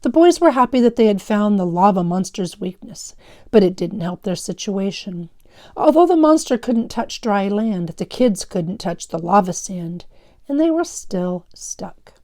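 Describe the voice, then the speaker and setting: A woman's voice: mid-range voice, lively intonation, measured pace, mellow. A woman teaching fifth grade, explaining instructively and reading a story aloud to pupils.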